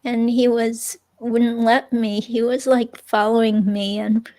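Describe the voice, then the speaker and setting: A woman playing a hesitant, uneasy young ex-girlfriend giving a police statement in a dramatic transcript reading, halting and full of fillers. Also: a little high, level tone, a little mellow. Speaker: reader playing an interviewee.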